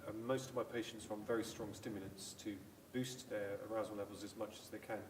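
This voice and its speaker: A man with a lowish voice explains examples from his hospital work in a calm, measured way. He sounds a little far from the mic, in a room with noticeable echo and a faint background hiss. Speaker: hospital clinician. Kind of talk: panel discussion. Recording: echoey room, distant mic, faint noise.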